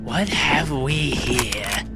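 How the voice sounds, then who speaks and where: An actor playing a coolly menacing, sardonic robot villain with a theatrical, mocking delivery in a sci-fi audio drama. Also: male voice, medium pitch, expressive, very slow, over loud music. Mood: mocking menace.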